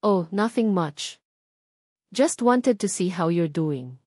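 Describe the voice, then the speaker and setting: A woman voicing a smug, mocking older brother in an audio drama, drawling her lines slowly and teasingly, recorded dry. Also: lowish voice, expressive, a little mellow, no echo.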